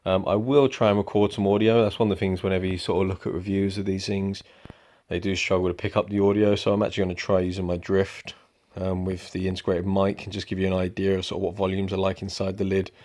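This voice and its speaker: A young man giving a relaxed, rambling product review at home, with lots of ums. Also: low voice, fast talker. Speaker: motorcycle vlogger. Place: indoors at home.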